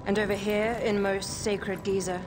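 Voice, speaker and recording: A woman's voice: mid-range voice, little pitch movement, moderate pace, over music, natural voice. An actress playing an imperious, decadent exiled queen of Egypt in a video game, haughty and theatrical. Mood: stern. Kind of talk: dramatic video game acting. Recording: noisy ambience behind the voice.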